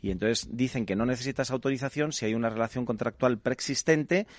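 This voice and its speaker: A man from talks as a legal expert guest on a radio show. His voice sits at a middle pitch, and he builds each point methodically, like a lecture. The sound is close and dry, with no room echo. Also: a little fast. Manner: lecturing, builds arguments step by step. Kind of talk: explanatory radio discussion.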